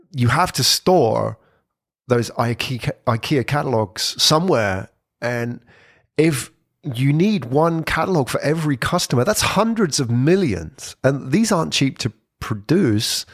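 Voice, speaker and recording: A man giving an informal explainer talk on podcasting, lively and conversational, with frequent "sort of" hedges. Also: mid-range voice, vocal fry, no room sound, studio quality. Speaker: presenter on brand podcasting.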